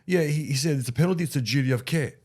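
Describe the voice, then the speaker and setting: A man with a head cold, a little nasal, rambling excitably in casual sports chat as a podcast co-host. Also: on the high side, rapid-fire.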